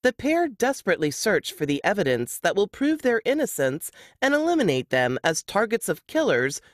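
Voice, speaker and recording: A woman's voice: medium pitch, big pitch swings, moderate pace, no room sound, clean studio sound. A woman reading scripted narration in a dramatic thriller-trailer style. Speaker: book blurb narrator.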